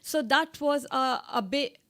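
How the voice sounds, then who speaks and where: Hesitant Pakistani-born woman, higher voice. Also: a little slow, fairly bright tone. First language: not English. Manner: hesitant, many fillers and restarts. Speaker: driving instructor guest. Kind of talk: casual radio interview. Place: radio studio.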